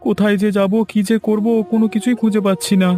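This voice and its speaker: A man's voice: high-pitched, flat delivery, quick pace, mellow, faint music behind, lightly acted. A man voicing an anxious, swindled villager in a cartoon fairy tale, plaintive and exclaiming. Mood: despairing.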